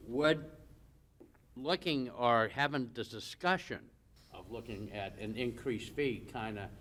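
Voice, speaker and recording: A man serving as an alderman, asking questions at a city board meeting in a loud, chatty, booming voice. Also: a little slow, recorded from a distance.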